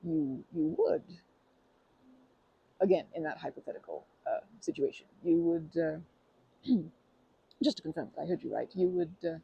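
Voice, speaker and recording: A performer in an ASMR roleplay playing a nervous, polite stranger who flirts shyly in a wordy, hesitant ramble, with a husky, creaky voice. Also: mid-range voice, normal speed, long pauses, muffled recording. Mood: nervous, apologetic.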